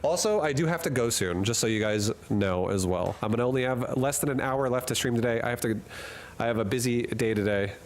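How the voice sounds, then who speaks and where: A man's voice: medium pitch, fairly quick, a little hiss or hum. Jokey rambling male game streamer. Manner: rambling and jokey, reads chat aloud and laughs often. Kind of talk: casual live-stream chat while gaming. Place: hot room at home.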